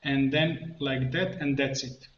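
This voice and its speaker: A man presenting an improvised software tutorial, thinking aloud haltingly as he works at a computer. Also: mid-range voice, steady tone. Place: indoor room at a computer. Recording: dull, echoey room, clear background noise. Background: keyboard typing and mouse clicks.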